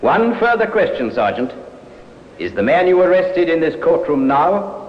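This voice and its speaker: Animated prosecutor, ringing hall. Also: male voice, fairly high pitch. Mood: probing, courteous. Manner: formal, declamatory, deliberate. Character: formal, probing male Crown prosecutor in court. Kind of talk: 1950s radio drama acting. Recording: echoey hall, muffled noisy old radio.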